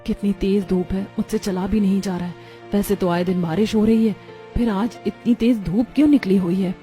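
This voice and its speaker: A woman's voice: medium pitch, fairly even intonation, moderate pace, warm tone, soft background music, lightly acted. A woman voicing a worried, caring woman of a poor household in a melodramatic children's cartoon, earnest and plaintive.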